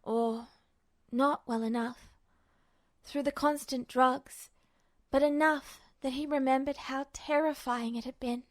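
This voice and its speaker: Woman, high voice, expressive story narration, dry close recording. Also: takes it slowly, dry recording. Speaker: amateur fiction narrator.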